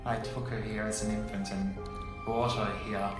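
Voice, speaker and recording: An actor playing a gentle, dying old professor in an audio drama, theatrical and wistful, with halting speech. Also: male voice, fairly low pitch, flat delivery, lightly acted. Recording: very echoey, with music underneath. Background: music.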